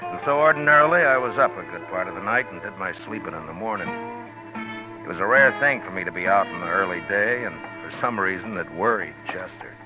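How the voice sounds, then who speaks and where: An actor playing a steady middle-aged frontier lawman, calm and measured, in a 1950s radio drama. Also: lowish voice, highs cut off. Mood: narrating. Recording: old radio recording with music.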